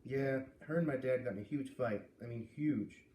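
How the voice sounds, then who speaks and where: A tough, laid-back teenage girl from a storybook , put on by a man reading aloud. The delivery is offhand and slangy with a mellow tone, in a roomy recording. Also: medium pitch, normal speed, lightly acted. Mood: troubled, slangy. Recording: roomy, distant mic with light noise.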